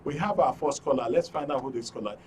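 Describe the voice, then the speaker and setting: A man's voice: mid-range voice, fast, a little hiss or hum. A man with a Nigerian accent hosting a live phone-in programme in a polite, formal way, repeating phrases for emphasis. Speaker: radio and TV host.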